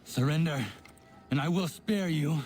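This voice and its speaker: An actor playing an earnest, stern young assassin in a video game, speaking gravely and formally with determination. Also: male voice, fairly high pitch, a little slow, a little mellow, faint music behind, natural voice. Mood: forceful, angry. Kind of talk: dramatic video game acting.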